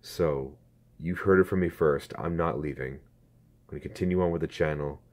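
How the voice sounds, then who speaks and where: A man in his twenties talks to his viewers through a phone camera, earnest and halting, with a strained throat. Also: very deep voice. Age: 20s. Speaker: YouTuber. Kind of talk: casual talk to camera.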